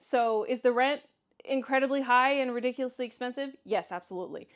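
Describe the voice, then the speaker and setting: A woman's voice: on the high side, a little fast. A woman with a Kansas accent chatting casually in a solo vlog, candid and emphatic as she responds to comments. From Kansas, United States. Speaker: vlogger.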